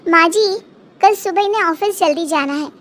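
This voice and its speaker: A young cartoon daughter-in-law with a very high voice, played by a woman. She is sly and politely bossy, wheedling with sweet insistence. Faint noise sits behind her. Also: normal speed, fairly bright tone. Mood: sweetly demanding. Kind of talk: Hindi cartoon moral story acting.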